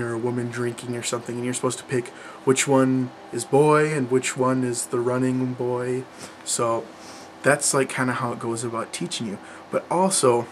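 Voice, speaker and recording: A man's voice: mid-range voice, slight background noise, rough recording. A young man chatting casually to camera about learning languages, rambling, with lots of fillers and restarts. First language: English.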